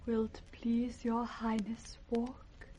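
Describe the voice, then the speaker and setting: An actress with a British accent playing a gentle, devoted princess in a 1960s radio Shakespeare, speaking in a hushed, tender, breathy way. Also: female voice, fairly high pitch, monotone, very slow, full character voice. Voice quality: breathy, soft. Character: gentle, devoted princess, daughter of an old king.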